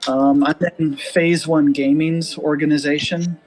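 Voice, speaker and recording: A man with a soft, gentle voice, chatting casually over voice chat with a streamer. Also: on the high side, flat delivery, unhurried, soft background music. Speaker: guest gamer.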